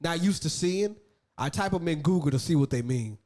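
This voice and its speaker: A slangy man co-hosting a casual podcast, speaking in animated back-and-forth banter. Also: fairly high pitch, fairly quick. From northern United States. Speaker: podcast co-host.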